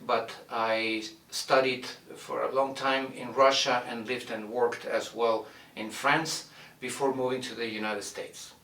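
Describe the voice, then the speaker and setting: A middle-aged man from Argentina, a violin professor introducing his studio in a measured way, with many "uh" pauses as he searches for words. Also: medium pitch, fairly even intonation, bright, forceful tone, recorded from a distance. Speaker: violin professor and orchestra director. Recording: roomy echo, mic set back.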